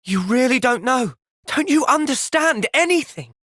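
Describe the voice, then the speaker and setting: Young British male hero for a video game: earnest, thoughtful, lively pitch swings, dry close studio sound. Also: moderate pace, no room sound, full character voice. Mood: anguished, urgent. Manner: earnest, polite. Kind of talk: video game cutscene dialogue.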